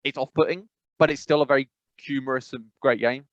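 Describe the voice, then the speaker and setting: A man's voice: mid-range voice, steady tone, brisk, dull audio. A man co-hosting a podcast in casual chat, rambling and often correcting himself mid-sentence. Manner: rambling, self-correcting, frequent "I must be honest". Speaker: podcast co-host.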